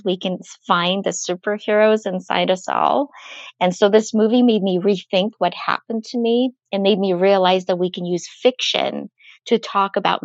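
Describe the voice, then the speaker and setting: A woman, a clinical psychologist, answering podcast interview questions in an earnest, measured way while recovering from a cold that leaves her nasal. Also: mid-range voice, dry recording, dull audio.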